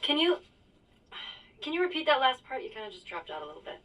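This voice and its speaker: A woman's voice: high-pitched, sing-song, fast talker, fairly bright tone, full character voice. A woman playing a polite, hesitant colleague with an apologetic manner in a casual audio drama discussion. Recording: distant from the microphone.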